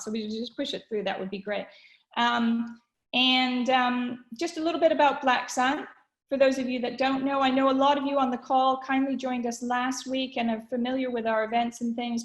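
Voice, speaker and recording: A woman from Canada hosting an online webinar, upbeat, with frequent fillers and pauses. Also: on the high side, echoey room. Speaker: webinar host. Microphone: video call.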